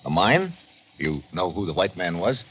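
An actor playing a tough, breezy investigator in a radio adventure, with an American accent and a casual, slangy way of talking. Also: male voice, deep voice, very animated, normal speed, a bit bright. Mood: inquisitive, brisk. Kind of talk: old-time radio adventure drama acting. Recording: noisy vintage radio broadcast recording.

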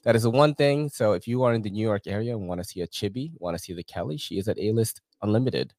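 A man's voice: lowish voice, fast, darkish tone, no room sound, clean studio sound. A man hosting a live podcast, casually reading sponsor ads with slang, cursing and laughter. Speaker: podcast host.

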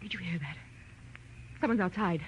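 An actress playing a frightened young woman in a 1940s radio drama, breathless, halting and pleading. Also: fairly low pitch, dull audio, natural voice. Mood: shaken. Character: panicky, frightened young woman caught fleeing a crime scene. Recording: old radio broadcast with noise.

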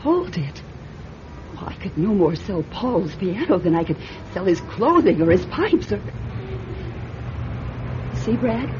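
Haunted widow, fragile, hesitant, touchy, classic radio drama, muffled recording with some hiss. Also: female voice, mid-range voice, very animated, slow, long pauses. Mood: wistful, defensive. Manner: hesitant, haunted, breaking into insistence. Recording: dull, noisy old radio recording.

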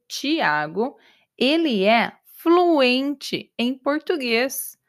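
A woman voicing an Irish woman who teaches English abroad, answering slowly and repeating herself carefully for learners. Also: sits high, exaggerated voice. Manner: slow, repetitive, carefully clear answers.

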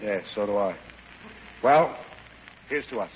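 An actor playing a suave, playful romantic gentleman, urbane and teasing, in an old-time radio drama. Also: fairly low pitch, expressive, measured pace. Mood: tender, amused. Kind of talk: 1940s-style radio play acting. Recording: muffled, noisy old radio broadcast.

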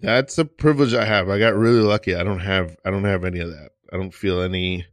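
Lowish-voiced guy in his 30s, podcast host, lots of uh and restarts, dead dry studio. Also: no echo. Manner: halting, many restarts and fillers. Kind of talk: casual chat. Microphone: studio mic.

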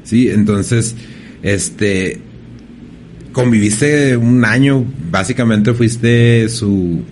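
A man from hosting a casual interview, relaxed and slangy with frequent restarts. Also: fairly low pitch, drawn-out words, a little hiss or hum. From Ciudad Juárez, Mexico. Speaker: podcast host.

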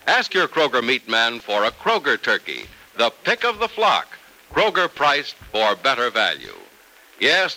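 A man working as a polished, dramatic announcer and narrator, reading scripted radio copy in the style of the 1940s. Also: medium pitch. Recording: old noisy radio recording, sharp sound.

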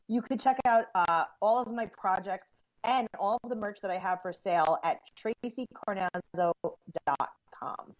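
Chatty woman comedian on a dull line. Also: mid-range voice. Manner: chatty, upbeat, fillers like like. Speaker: comedian and podcast guest. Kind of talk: casual podcast chat.

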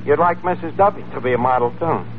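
Male actor as brash tavern manager, New York accent, high-pitched voice, slangy malapropisms, 1940s radio comedy, tinny noisy recording. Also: lively intonation, quick pace, lightly acted. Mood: breezy, bragging. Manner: slangy, mangles words and grammar. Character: brash, word-mangling wise-guy tavern manager.

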